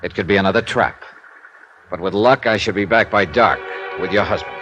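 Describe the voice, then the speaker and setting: An actor playing a tough but sympathetic insurance investigator in a 1950s radio detective drama, with an American accent and a clipped, wry delivery. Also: male voice, on the low side, brisk, a bit bright, dull audio, lightly acted. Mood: weary, sympathetic. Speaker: lead actor and narrator. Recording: hissy vintage radio recording.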